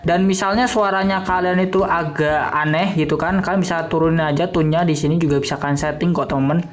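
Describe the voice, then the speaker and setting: A man's voice: on the high side, steady tone, fast talker. A young man, chatting informally as he talks viewers through a tech tutorial. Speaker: tutorial host. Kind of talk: casual screen-recorded tech tutorial. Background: background music.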